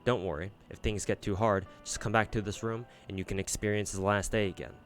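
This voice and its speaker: Brief: tender, soothing guardian, soft-spoken, played by a man. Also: lowish voice, fairly even intonation, brisk, soft background music, lightly acted. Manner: soft, quiet, reassuring.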